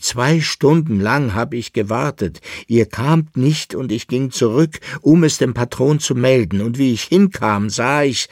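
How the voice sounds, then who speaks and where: A man's voice: on the low side, husky, no echo, studio quality. A man voicing a distraught fugitive in an audiobook story, wailing and agitated, full of exclamations and pleading questions.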